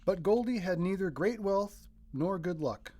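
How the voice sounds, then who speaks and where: A man reading a children's picture book aloud as narrator, in expressive scripted storytelling. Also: high voice, unhurried.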